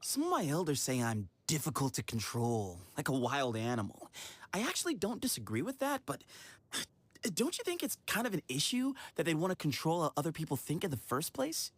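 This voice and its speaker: A woman's voice: sits low, huge pitch swings, normal speed, no room sound, full character voice. A woman playing an easygoing, friendly young man with a breezy, casual manner in video game style. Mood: musing. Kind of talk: video game character acting.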